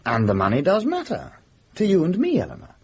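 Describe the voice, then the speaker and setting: An actor playing an excitable, self-interested young gentleman in a radio drama, exclamatory and hesitant. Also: a little high, fairly quick, a little hiss or hum. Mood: uneasy, hesitant. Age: young adult.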